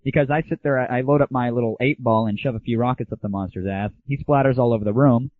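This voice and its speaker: A man's voice: medium pitch, quick pace, dry recording. Man ranting on thin, phone-like lo-fi audio. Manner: crude, sarcastic, exaggerated griping. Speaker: host of a gaming audio show. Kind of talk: informal solo rant and commentary. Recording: dull lo-fi 1990s internet audio, phone-like.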